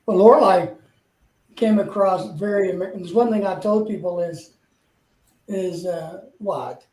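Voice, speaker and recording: A man's voice: high-pitched, measured pace, warm tone, echoey room, low-quality recording. An older man chatting casually with a rambling, hesitant way of talking. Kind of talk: casual chat.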